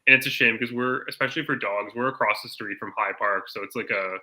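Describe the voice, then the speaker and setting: A man's voice: medium pitch, fast. A man in his thirties from the Oshawa area of Ontario, a guest talking casually with many "like"s. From Oshawa area, Ontario, Canada. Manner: relaxed, filler-heavy, lots of "like". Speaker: guest, band member. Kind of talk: casual video chat interview.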